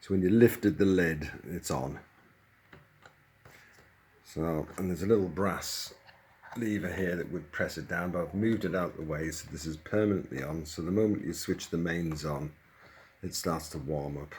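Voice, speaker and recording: A man with a low-pitched voice gives an informal, hands-on demonstration. He thinks aloud as he explains, like a hobbyist presenter. A faint noise sits behind his voice. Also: moderate pace, a little mellow.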